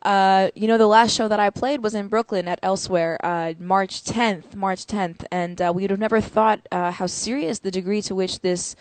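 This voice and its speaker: A young adult woman speaking casually to livestream viewers from home between songs, relaxed and chatty with fillers. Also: mid-range voice, moderate pace, few pauses, no room sound. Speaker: musician performing a livestream show. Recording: loud music over the voice. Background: music.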